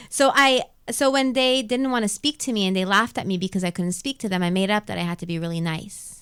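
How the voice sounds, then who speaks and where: A woman's voice: on the low side, fairly bright tone, clear, resonant tone. A woman from Montreal whose first language is Arabic, chatting reflectively in a casual interview and repeating phrases for emphasis. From Montreal, Canada. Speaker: radio show host being interviewed. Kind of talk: casual live interview chat. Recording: music playing behind the voice.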